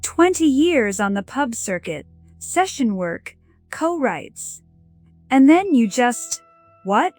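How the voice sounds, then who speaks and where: A woman plays an eager young professional in an audio drama. She talks in a chatty, brisk, salesy way, at a middling-high pitch. Soft music runs underneath. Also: very animated, dry recording, full character voice. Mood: probing. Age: young adult. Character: bright young professional woman, eager streaming-platform scout. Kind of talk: scripted audio drama dialogue.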